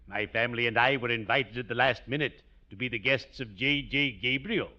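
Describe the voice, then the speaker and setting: An actor playing a gloomily jovial, genteel undertaker with a formal, drawn-out delivery in 1940s radio comedy style. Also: male voice, medium pitch, sharp tone. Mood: macabre cheer. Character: genteel, gloomily jovial small-town undertaker, a family friend. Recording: dull, noisy vintage 1940s radio recording.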